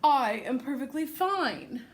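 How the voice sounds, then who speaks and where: A woman reads the part of a proud, cheerful papa fish in a children's storybook. The voice is fairly high and very unhurried, with a contented, dutiful manner. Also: animated, slight background noise. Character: cheerful, proud father fish minding his eggs.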